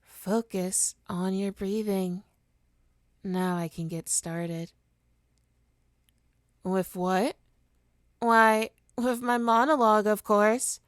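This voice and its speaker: A woman's voice: medium pitch, fairly even intonation, very slow, long pauses, no room sound, studio quality. A woman playing a smug, teasing supervillain with hypnotic powers in an ASMR roleplay, taunting and theatrical in a condescending way. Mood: commanding, soothing. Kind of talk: ASMR roleplay monologue to listener.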